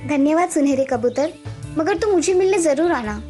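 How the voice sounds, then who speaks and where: An affectionate young girl in a children's cartoon story , played by a woman. Her voice is clearly high, and soft music runs behind it. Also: expressive, moderate pace. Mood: fond. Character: affectionate girl Mala, fond of her magic pigeon.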